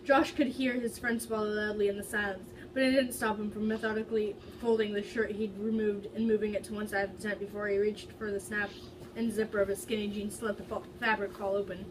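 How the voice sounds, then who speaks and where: A woman's voice: mid-range voice, little pitch movement, fast talker. A young adult woman doing an informal, dramatic amateur story reading, stumbling over the odd word. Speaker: reader of fan fiction. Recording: distant mic with loud background noise.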